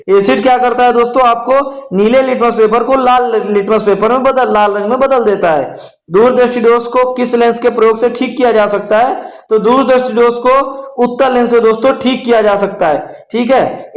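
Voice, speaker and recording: Male exam coach, strikingly high voice, familiar question-and-answer delivery with repetition, roomy echo. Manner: repeats questions and answers for emphasis, addressing listeners familiarly. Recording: echoey room.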